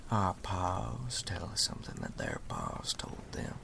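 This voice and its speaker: Guy doing a spooky animal storyteller for asmr, super crackly gravel voice, real slow and hushed, folksy and ominous. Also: wildly swooping pitch, exaggerated voice. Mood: eerie, reflective. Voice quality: very deep, gravelly, breathy half-whisper.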